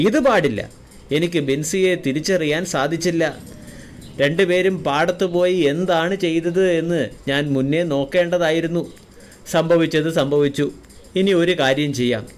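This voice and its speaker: A man's voice: fairly high pitch, moderate pace, lightly acted. A man playing a wealthy, authoritative but fair-minded landlord in a cartoon moral story. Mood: regretful.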